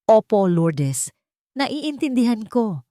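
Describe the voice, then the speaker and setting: A woman voicing a betrayed wife in an audio drama, measured and quiet, feigning meekness over calm defiance. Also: a little high, no echo, clean studio sound, pitched above the performer's own voice. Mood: meek.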